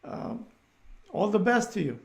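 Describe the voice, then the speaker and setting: A man's voice: high voice, very animated, measured pace, creaky. A man hosting a live interview show in a casual way, with plain, loosely phrased English.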